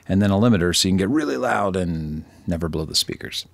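Guy with a deep creaky voice walking thru software, thinking out loud, dry close mic. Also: lively intonation, fast, no echo. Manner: informal, thinking aloud, self-deprecating. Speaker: audio software tutorial presenter. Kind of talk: casual screen-recorded tutorial.

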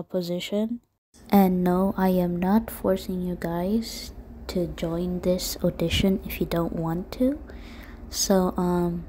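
A woman's voice: medium pitch, mellow, a little hiss or hum. A woman hosting a casual, informal announcement for her online followers. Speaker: host announcing voice acting auditions.

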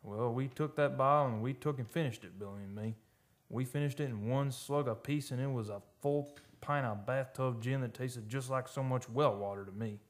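An actor playing a folksy, uneasy oil-rig worker telling an eerie tale in old-time radio drama style, halting and ungrammatical. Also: male voice, mid-range voice, natural voice. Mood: haunted, hushed. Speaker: actor narrating a radio play. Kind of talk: old-time radio drama acting, first-person storytelling. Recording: live radio studio with music behind.

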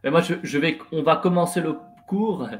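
A man's voice: fairly high pitch, normal speed, a little hiss or hum. A young man from France, a guest on a video call, cheerful and hesitant, repeating his words. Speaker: guest presenter. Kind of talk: casual chat on video call. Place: home room. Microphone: headset.

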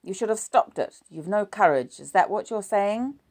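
A woman voicing a distraught mother who turns sharp and accusing, her voice yelping into shouts. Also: expressive, measured pace. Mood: bitter, pleading. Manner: shrill, breaking into yells.

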